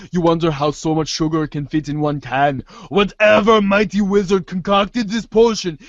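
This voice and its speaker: A man's voice: fairly high pitch, dry recording, dull audio. A man reading scripted narration for an amateur reader's theater show, performing a webcomic aloud.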